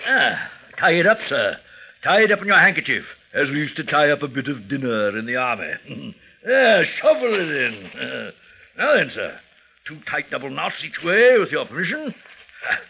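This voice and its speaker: An actor playing a fawning, effusive old soldier at a gambling table in a 1940s radio drama, oily and flattering. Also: male voice, on the high side, moderate pace, gravelly, breathy edge, exaggerated voice. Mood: eager, fussing. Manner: oily, flattering, theatrical, chuckling. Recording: muffled vintage 1940s radio recording.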